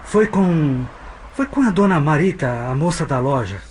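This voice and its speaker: An actor playing a plain-spoken working-class Brazilian street sweeper with bad news in an audio drama, heard over a telephone. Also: male voice, fairly high pitch, lively intonation, measured pace, noisy background. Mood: urgent, plain.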